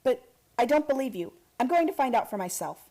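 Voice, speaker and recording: An actress playing an earnest, disbelieving young heiress in a radio play, halting and insistent in her questions. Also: sits high, very animated, dark tone, exaggerated voice. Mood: defiant.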